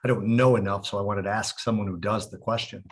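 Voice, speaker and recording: A man's voice: fairly low pitch, fairly even intonation, fast talker, husky. A man co-hosting a casual interview podcast, asking blunt, provocative questions. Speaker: podcast co-host.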